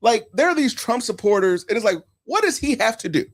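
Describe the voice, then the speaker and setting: Man, 40, podcast host, casual talk, lively swinging pitch, storytelling delivery. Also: fairly quick. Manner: animated, storytelling, swings between loud and hushed. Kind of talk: casual political chat.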